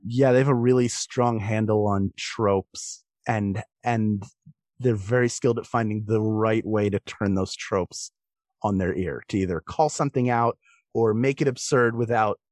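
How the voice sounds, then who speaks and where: A man's voice: lowish voice, a little slow, darkish tone, no room sound. Man chatting casually, close dry sound. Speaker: podcast guest, performer. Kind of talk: casual discussion.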